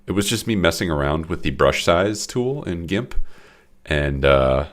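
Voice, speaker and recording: Deep-voiced young guy, stuffy nose, rambly and self-deprecating, lots of restarts on a late-night dev stream. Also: expressive, measured pace. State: congested. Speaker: game developer streaming his work. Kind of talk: casual live-stream commentary.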